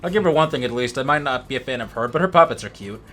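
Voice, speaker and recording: A man's voice: mid-range voice, lively intonation, rapid-fire, fairly bright tone, soft background music. A man co-hosting a let's play, chatting casually as he comments on a video game. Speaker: co-host of a gaming let's play. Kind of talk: casual gameplay commentary chat.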